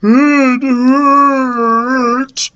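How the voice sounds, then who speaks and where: A man's voice: very high-pitched, lively intonation, takes it slowly, sharp tone, muffled recording, pitched above the performer's own voice, full character voice. A man voicing a tearful, fearful young boy in a storybook, whiny and sobbing, with a nervous stammer. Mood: panicked.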